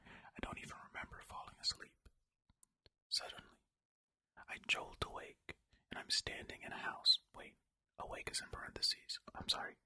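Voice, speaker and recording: An ASMR host speaking in a breathy, unvoiced whisper close to the microphone, reading and musing aloud in conversational asides. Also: very high voice, bright, forceful tone. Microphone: close ear-to-ear stereo microphone.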